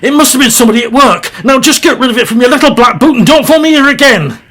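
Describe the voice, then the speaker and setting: A man's voice: extremely high pitch, animated, fast talker, fairly bright tone, exaggerated voice. A man acting in an audio drama as an irritable, overworked local radio travel presenter. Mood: angry, agitated. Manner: colloquial British speech, easily riled.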